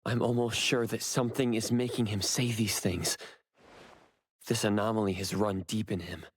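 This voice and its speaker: A man's voice: fairly low pitch, level tone, moderate pace, breathy edge. A man voicing an earnest, perceptive anomaly hunter in a video game, serious and focused. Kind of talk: game voice acting.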